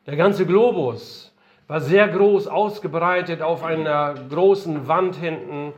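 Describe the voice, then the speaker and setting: A man's voice: fairly high pitch, takes it slowly, muffled recording, a little hiss or hum. An older man giving an earnest, emphatic lecture-style sermon in a conference room, his voice a little strained from being unwell. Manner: earnest, emphatic, rhetorical questions. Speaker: missionary speaker.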